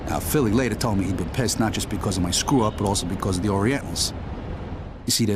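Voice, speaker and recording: Low-voiced, world-weary American mobster played by an actor. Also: male voice, noisy background, close to an everyday voice. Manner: tough-talking, casual, profane. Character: world-weary wisecracking mobster enforcer recalling his crimes. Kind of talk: hardboiled crime narration.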